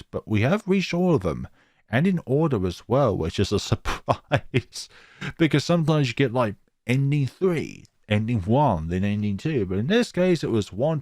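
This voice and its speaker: A man's voice: mid-range voice, a little mellow, dry recording, clean studio sound. A man reading a visual novel aloud and commenting on it as the narrator of a gaming video. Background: background music.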